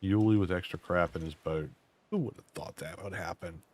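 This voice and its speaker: A man, streaming a game and muttering to himself in a drawling, self-mocking way, his voice going hoarse. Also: low-pitched, fairly quick, a little mellow. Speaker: gaming streamer. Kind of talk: casual gameplay commentary.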